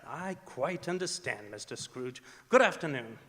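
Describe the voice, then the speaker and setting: An actor playing a courteous, earnest Victorian gentleman in a radio drama, speaking formally and persuasively. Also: medium pitch, expressive, unhurried. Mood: earnest, taken aback. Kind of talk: stage radio drama acting. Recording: reverberant theatre hall recording.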